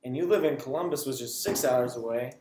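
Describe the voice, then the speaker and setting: A man's voice: mid-range voice, fast. A young man hosting a student interview show in a classroom, joking and teasing his guest. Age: young adult. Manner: teasing, playful, laughs often. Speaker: student host. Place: small classroom, indoors. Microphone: camera on table in front. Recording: echoey classroom with background noise.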